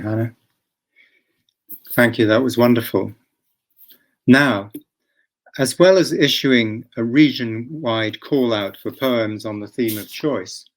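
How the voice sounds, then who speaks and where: A man presenting an event, delivering scripted introductions. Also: medium pitch.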